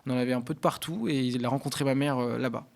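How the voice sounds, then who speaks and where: A man from the Lyon area answers as an interview guest, in a conversational way. His voice has an average male pitch, and he often pauses as he looks for the next word. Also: vocal fry, darkish tone. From Lyon area, France. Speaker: interview guest, parliamentary aide. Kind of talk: conversational personal account in an interview.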